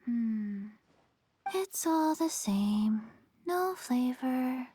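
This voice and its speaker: Very slow, listless little zombie girl. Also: high-pitched, breathy edge, no room sound, full character voice. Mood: listless, blank. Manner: halting, deadpan. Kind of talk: video game character dialogue.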